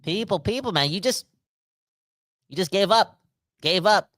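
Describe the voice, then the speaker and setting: A man's voice: high voice, a little slow, no room sound, studio quality. A man, hosting a podcast livestream, reading viewer comments and ranting in casual slang. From Canada. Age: 30s. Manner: animated, rambling, slangy, talks straight to the audience. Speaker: podcast host reading live chat comments.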